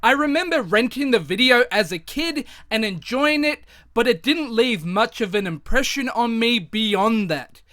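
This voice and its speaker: A man in his thirties narrating a scripted retrospective review in a measured, reflective way. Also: very high voice, no echo, clean studio sound. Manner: measured, reflective, even delivery.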